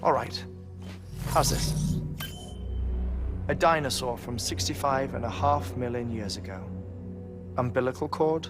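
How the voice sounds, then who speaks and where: An actor playing an earnest middle-aged male scientist in a game cutscene, explaining theories deliberately with dramatic pauses. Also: mid-range voice, over music, natural voice. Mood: probing, intrigued. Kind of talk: cinematic game cutscene acting.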